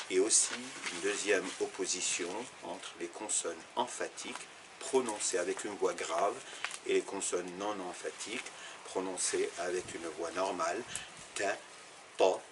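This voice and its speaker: A deep-voiced man from Algeria, a teacher who explains things step by step, pausing and restarting as he searches for words. His low voice comes through over steady noise in the background. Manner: thoughtful, explanatory, with fillers and restarts. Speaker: Arabic teacher interviewed. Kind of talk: interview answers.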